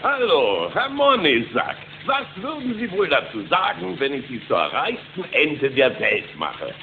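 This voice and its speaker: Menacing cartoon captain, creaky, wildly swooping, dull tape. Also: male voice, on the high side, wildly swooping pitch, measured pace, slight background noise, full character voice. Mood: scheming. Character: scheming, menacing male captain villain. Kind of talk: cartoon audio drama acting. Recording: dull cassette tape sound.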